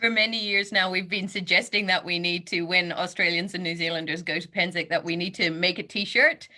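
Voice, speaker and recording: A woman hosting an interview, cheerful and quick to laugh. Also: fairly low pitch, steady tone, pushed and bright. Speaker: interview host. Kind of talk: casual interview.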